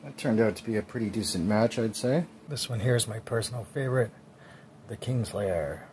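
A man's voice: lowish voice, a little fast, darkish tone, slight background noise. A man in his forties casually narrating his bike build over clips, chatty with frequent ums. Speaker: cycling vlogger.

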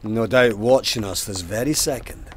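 An actor with a Scottish accent playing a grim, haunted, commanding police sergeant in a formal, clipped manner in an audio drama. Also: male voice, lowish voice, moderate pace, slight background noise, close to an everyday voice. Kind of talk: full-cast audio drama acting.

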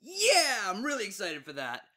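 Male story narrator, animated intonation, fan fiction reading, host. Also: high-pitched, normal speed.

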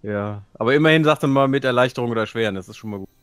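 A man chats casually as a player in a tabletop game. He makes wry, jokey asides sprinkled with English slang. His pitch is average and faint music plays behind him. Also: rapid-fire, smooth, dry recording, studio quality. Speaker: tabletop role-playing player. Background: background music.

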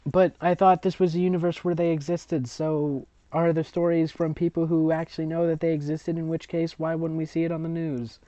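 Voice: medium pitch, flat delivery, a little fast, hardly any pauses, dark tone, no echo, dull audio. A theatrical reader narrating fan fiction in a comic, mocking way, with sarcastic asides.

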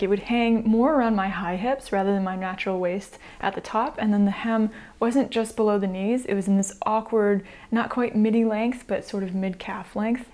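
An American woman in her thirties, chatting to camera in a small home room on a lapel mic, light and easygoing, with soft laughs between thoughts. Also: medium pitch. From United States. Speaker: sewing vlogger. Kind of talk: casual chat to camera.

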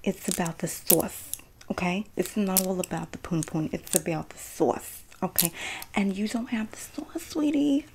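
A young woman plays a sassy prison diva in an ASMR roleplay, whispering and murmuring close up with a creaky edge. She talks in streetwise slang and sweet, pointed endearments, comforting and bossy at once. There is clear noise behind the close voice. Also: on the low side, moderate pace, warm tone, natural voice, poor audio. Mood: sassy, gossipy. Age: young adult. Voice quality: breathy whisper. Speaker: ASMR creator acting a roleplay.

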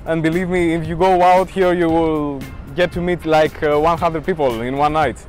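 A man's voice: fairly high pitch, fairly even intonation, moderate pace, a little mellow, faint music behind. A man from Greece with a Greek accent, chatting friendly and a bit hesitantly in an interview on a beach with a lapel mic. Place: outdoors on a sunny beach.

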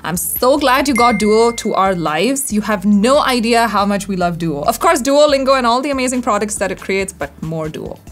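A woman's voice: medium pitch, animated, moderate pace, hardly any pauses, soft background music. A woman in her thirties with an Indian accent, hosting an interview in a conversational style. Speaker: interviewer, content creator. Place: indoor studio-style office set.

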